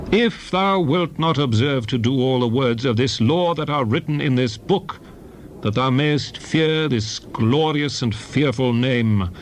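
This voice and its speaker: A stern male prophet, acted by a man, exhorts his people in solemn, emphatic biblical oratory. The pitch is middling and the delivery measured and declamatory, as in a dramatized scripture recording. Faint noise and ambience sit behind the voice. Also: lightly acted. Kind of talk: dramatized King James Bible reading, declamatory oratory.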